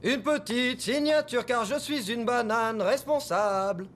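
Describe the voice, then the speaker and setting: A man playing a goofy, self-important cartoon delivery courier with an officious, drawn-out way of talking. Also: very high-pitched, a bit bright, slight background noise. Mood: officious cheer. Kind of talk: animated series French dub acting.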